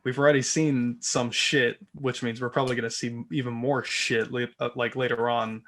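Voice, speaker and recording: Medium-pitched man in casual chat. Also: little pitch movement, normal speed, a bit bright. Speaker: discussion panelist. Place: online group call.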